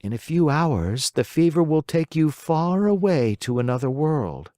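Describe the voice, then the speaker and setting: A patient, motherly fairy, as a storybook reader puts her on, speaking gently and unhurriedly. Her voice is a little raised and moves freely, heard close and dry. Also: mid-range voice, very animated, measured pace, no echo, voice pushed up. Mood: coaxing. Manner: gentle, coaxing and firm.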